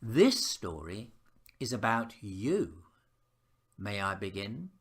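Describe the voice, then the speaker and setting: A man reading a children's picture book as a patient, reassuring father, speaking in a gentle, measured way. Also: lowish voice, big pitch swings, heavily acted.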